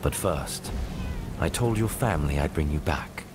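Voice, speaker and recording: An actor playing a stoic, protective wandering warrior in a video game, grave and measured. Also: male voice, very deep voice, expressive, background noise. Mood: solemn. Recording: loud game music over voice.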